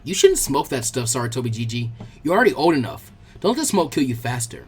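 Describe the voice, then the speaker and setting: A man plays a brash young ninja boy in a storybook reading. The voice is husky and swings around a lot, informal, eager and earnest. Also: medium pitch, very animated, quick pace, soft background music, voice pushed down. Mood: sheepish, frank. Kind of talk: fan fiction audiobook character voice.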